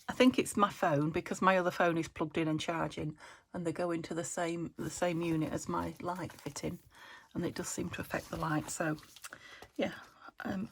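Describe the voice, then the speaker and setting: A middle-aged woman with a croaky voice from a cold, chatting casually while she crafts and asking little tag questions. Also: lowish voice, slight background noise. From England. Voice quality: gravelly. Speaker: craft vlogger. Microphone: phone camera.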